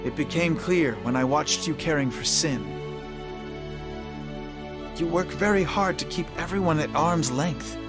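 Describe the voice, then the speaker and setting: A man playing a noble, idealistic young king in a video game, speaking formally with measured earnestness. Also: on the high side, close to an everyday voice. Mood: solemn, reflective. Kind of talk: English video game voice acting. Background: music.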